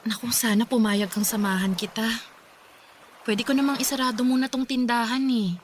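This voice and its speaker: A woman's voice: fairly high pitch, fairly even intonation, moderate pace, slight background noise, close to an everyday voice. An actress playing a caring, worried young girlfriend in a Filipino radio drama, solicitous and questioning, with a Filipino accent.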